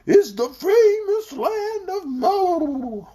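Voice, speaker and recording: A woman voicing an eccentric, hospitable man from a storybook, with a wobbly, shaking voice and drawn-out exclamations. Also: very high voice, big pitch swings, dark tone, voice pushed up, heavily acted. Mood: solemn pride. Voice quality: wobbly, shaky, produced by shaking the head. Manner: drawn-out, exclamatory, self-important.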